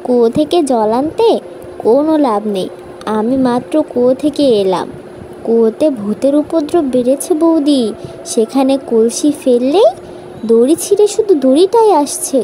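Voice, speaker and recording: A woman's voice: sits high, expressive, normal speed, dark tone, slight background noise, poor audio. A woman voicing a gossipy, fearful village neighbour in a cartoon, confiding and cautioning. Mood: warning. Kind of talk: cartoon voice acting.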